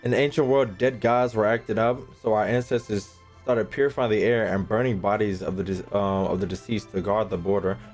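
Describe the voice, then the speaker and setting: A man with a low voice streams a video game in a casual, slangy way. He repeats his phrases and swears freely, with game music faintly behind him. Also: steady tone, fairly quick, hardly any pauses, a little mellow. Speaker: gaming streamer. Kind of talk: casual gameplay commentary.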